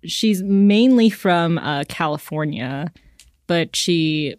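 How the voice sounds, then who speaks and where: A woman co-hosting a podcast, chatting casually about a book with lots of fillers and lively retellings. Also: lowish voice, slow, no room sound, studio quality. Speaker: podcast co-host.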